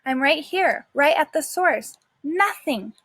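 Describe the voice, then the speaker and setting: A woman's voice: high voice, lively intonation, slow, fairly bright tone, no room sound, clean studio sound, pitched above the performer's own voice, full character voice. A woman voicing a scrappy, sarcastic little male dog who tells his own story in a wry, dramatic storybook manner. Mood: desperate.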